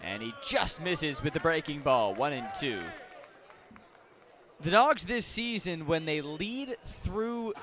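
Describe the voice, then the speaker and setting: A man calling baseball play-by-play live on the radio in an animated way, with dramatic pauses. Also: fairly high pitch, a little hiss or hum. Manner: animated, dramatic pauses, swelling on big plays. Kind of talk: live radio sports commentary. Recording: crowd and music behind the commentary. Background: ballpark crowd and stadium music.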